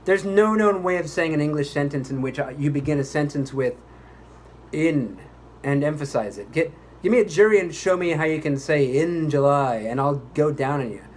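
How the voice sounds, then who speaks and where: A man's voice: on the high side, animated, normal speed, darkish tone, slight background noise, lightly acted. Man acting a pompous, exasperated voice-over actor. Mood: indignant. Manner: grandiose, indignant, condescending. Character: exasperated, pompous male commercial voice actor at a recording session. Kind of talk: re-enacted commercial recording outtake.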